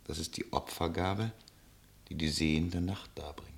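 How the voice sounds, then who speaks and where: An actor playing a tender traveller who tells lyrical, solemn stories in a literary radio play. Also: male voice, deep voice, fairly even intonation, moderate pace, vocal fry. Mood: lyrical, awed. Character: male traveller, tender poetic storyteller.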